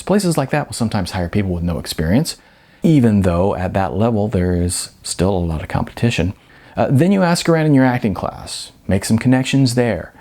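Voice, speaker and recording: A man's voice: on the low side, mellow. A man voicing a blunt, world-weary restaurant manager in an audiobook, explaining things frankly and emphatically.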